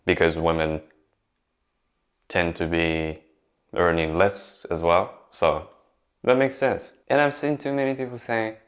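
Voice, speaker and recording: A young man with a Japanese accent speaks to camera in a relaxed, unscripted way. His voice sits very low. He pauses and restarts often, leaning on fillers. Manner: conversational, many fillers and restarts. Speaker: YouTuber. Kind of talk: casual talk to camera.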